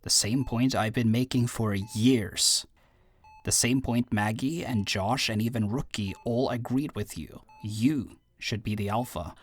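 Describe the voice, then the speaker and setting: A man's voice: mid-range voice, a little slow, breathy edge. A man acting in an audio roleplay as an earnest, insistent brotherly friend, talking in a persuasive, conversational way.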